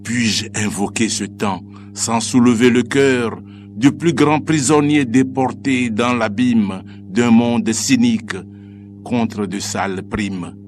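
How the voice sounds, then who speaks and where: Male poet, deep register, very unhurried, solemn declamation over background music. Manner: declamatory, measured phrasing with deliberate pauses. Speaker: poet reciting own poem. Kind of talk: solemn dramatic poetry recitation.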